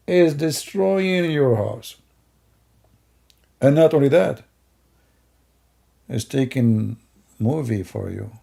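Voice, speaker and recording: Gravelly, mocking middle-aged man. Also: on the high side, dark tone. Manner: mocking, rambling, with broken grammar. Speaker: commentator. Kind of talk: sarcastic commentary over video clips.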